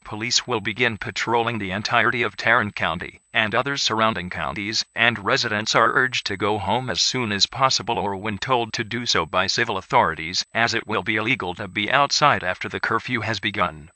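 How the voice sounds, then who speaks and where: A man reading a scripted emergency announcement in a formal, impersonal, flatly official manner. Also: lowish voice, fairly quick, hardly any pauses, no room sound.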